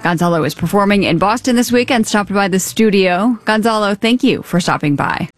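A woman's voice: medium pitch, lively intonation, fairly quick. A woman, interviewing a guest conversationally as a radio host. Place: radio studio.

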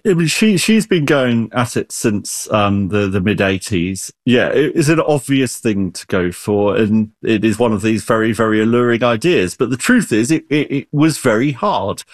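A man's voice: lowish voice, expressive, normal speed, few pauses, dry recording, clean studio sound. A man who is an expert guest, explaining science in an interview with everyday analogies and frequent restarts.

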